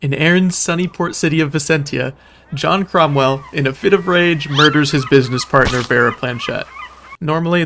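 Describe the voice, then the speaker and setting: A man's voice: a little high, a bit bright, slight background noise. A man reading scripted recap narration in a storytelling style, with dramatic delivery and wry asides. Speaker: video narrator recapping a roleplay game event.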